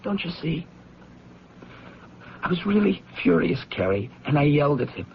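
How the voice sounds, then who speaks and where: A man playing an anguished, guilt-ridden brother in an old-time radio drama, agitated and halting as he insists and repeats himself. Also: a little high, sing-song, a little hiss or hum. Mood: agitated, self-doubting. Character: anguished, guilt-ridden brother convinced his temper curses people. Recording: muffled, narrow-band old radio recording.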